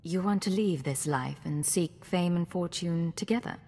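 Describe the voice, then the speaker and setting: Game lead: composed imperial agent played by a woman, slightly low female voice, cool, dry delivery in a long hall reverb. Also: moderate pace, warm tone, slight background noise, lightly acted. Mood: cool, wry. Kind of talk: video game voice acting.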